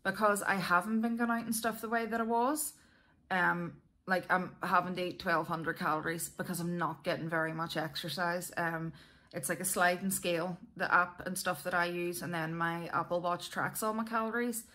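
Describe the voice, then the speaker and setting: Female vlogger, Northern Irish, lowish bright voice, candid and chatty. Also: steady tone, normal speed. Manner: chatty, candid, self-deprecating. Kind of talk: casual chat to viewers.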